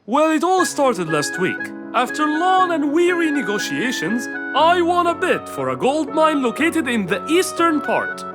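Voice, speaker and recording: A woman voicing a busy, impatient male business horse in a children's cartoon, hurried, fussy and insistent. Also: high-pitched, very animated. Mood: frustrated. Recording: music bed behind the voice.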